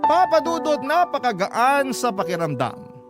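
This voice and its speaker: A man's voice: very high-pitched, big pitch swings, unhurried. A man with a Filipino accent reading a letter as scripted, sentimental radio drama narration. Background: prominent music.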